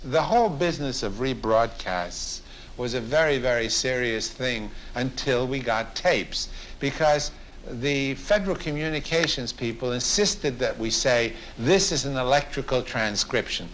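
An older man recalls his radio career in an interview. His voice sits at a middle pitch and he speaks deliberately, repeating for emphasis, with faint noise behind him. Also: a bit bright, poor audio. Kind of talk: reminiscing interview.

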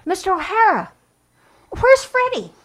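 A woman's voice: extremely high pitch, huge pitch swings, unhurried, a bit bright, heavily acted. An actress playing a poised, coy young woman at a consulate desk, polite and arch, in an old-time radio drama. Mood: anxious. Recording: vintage radio broadcast.

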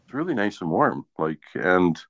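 Low-voiced man in his sixties, roomy sound. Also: sing-song, normal speed, fairly bright tone. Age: 60s. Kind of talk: casual chat. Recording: dull lo-fi audio in echoey room.

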